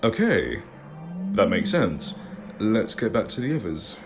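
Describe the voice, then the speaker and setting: A man's voice: on the low side, sing-song, unhurried. A man playing a dry, curious, level-headed engineer passenger in a fan audio drama, with a wry conversational manner. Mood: reflective. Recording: loud music behind.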